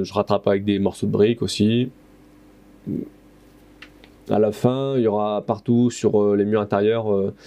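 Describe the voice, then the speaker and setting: Gravelly low-voiced man, hesitant DIY talk. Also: mellow. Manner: hesitant, many fillers, self-correcting. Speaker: plasterer renovating his house. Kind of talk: casual DIY narration. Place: stone-walled room under renovation. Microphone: head-mounted action camera.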